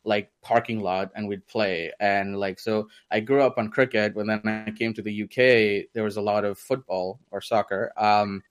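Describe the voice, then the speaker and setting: A young man from India talks casually as an interview guest, in a blended accent with Indian, British and American traces. His voice sits a bit low. He rambles, circling back through fillers and restarts. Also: fairly even intonation, moderate pace, few pauses, clear, resonant tone. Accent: mixed Indian, British and American.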